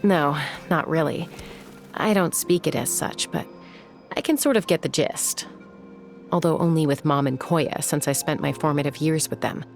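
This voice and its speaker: Low, gravelly, self-assured young heroine. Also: female voice, normal speed, soft background music. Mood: matter-of-fact. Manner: casual, composed, conversational. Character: self-assured young woman raised among wild birds. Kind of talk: video game voice acting.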